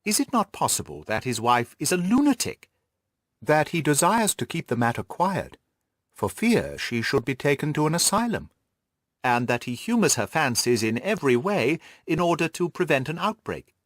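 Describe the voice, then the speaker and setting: A man's voice: on the high side, very animated, moderate pace, no room sound, studio quality. A man voicing an earnest, anxious young Victorian governess who tells her story articulately in an audiobook. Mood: hopeful, pleading.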